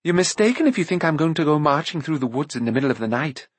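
A man voicing a sharp-tongued, scornful young girl. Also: a little high, lively intonation, fast talker, slightly rough, no echo, voice pushed up.

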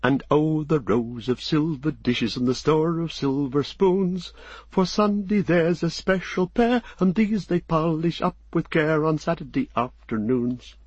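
A man's voice: a little high, a little slow, darkish tone, breathy edge, no echo, dull audio, voice pushed up. A man voicing a polite young male hobbit addressing a crowd in a formal, declamatory storybook manner. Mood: merry, lilting. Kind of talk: audiobook character acting.

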